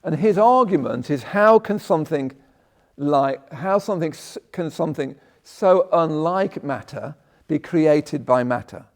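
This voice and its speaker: A man with a croaky voice giving a conversational Buddhist talk, often checking in with "yeah?" and breaking off his sentences. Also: a little high, expressive, a little slow, darkish tone. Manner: conversational, tag questions, self-interrupting. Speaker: Buddhist teacher. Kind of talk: dharma talk. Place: meditation hall.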